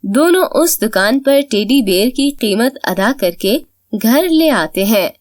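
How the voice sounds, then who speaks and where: High-pitched woman narrating a children's story. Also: animated, slow pace, no echo, studio quality.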